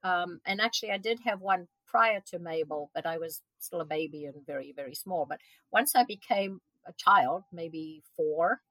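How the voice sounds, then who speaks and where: A woman's voice: lowish voice, moderate pace, fairly bright tone, dry recording, poor audio. A woman in her seventies from South Africa, a guest answering interview questions earnestly, with frequent ums and restarts. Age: 70s. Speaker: guest, event organizer.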